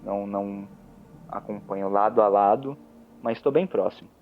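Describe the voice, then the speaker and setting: A man's voice: lowish voice, normal speed, slight background noise. A Brazilian man talking casually as a player in a tabletop roleplaying game. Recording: dull lo-fi audio under music. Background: background music.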